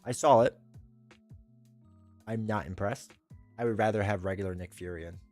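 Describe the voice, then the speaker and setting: A man with a congested, stuffed-up voice hosts a casual live stream, talking to his viewers. His speech rambles and restarts, with blunt opinions. Soft music plays faintly behind him. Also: fairly low pitch, moderate pace. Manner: rambling, self-correcting, opinionated. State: stuffed up from a cold. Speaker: streamer host.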